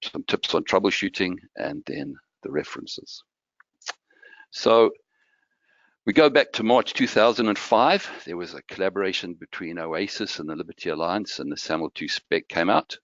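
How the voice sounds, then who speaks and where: A man from Malawi giving a guest technical presentation over a remote call, explaining in a relaxed way with some restarts. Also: sits low, dry recording. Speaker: guest speaker. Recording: dull lo-fi audio.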